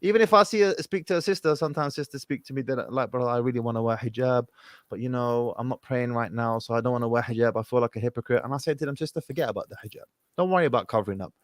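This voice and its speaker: Fast-talking male call-in show host. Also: mid-range voice, dry recording, clean studio sound. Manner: informal, emphatic, peppered with Arabic phrases. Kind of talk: casual religious advice to callers.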